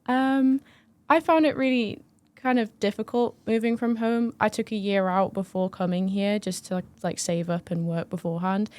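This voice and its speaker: A woman's voice: mid-range voice, fairly even intonation, normal speed, darkish tone. A young woman from northern England, a first-year student talking earnestly with many hedging fillers. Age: young adult. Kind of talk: casual chat.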